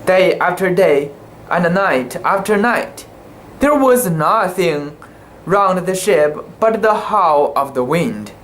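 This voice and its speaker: An amateur narrator giving a halting, theatrical reading of a literary sea story, sometimes stumbling over words. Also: medium pitch, animated, a bit bright. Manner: halting, theatrical delivery with stumbles and self-corrections.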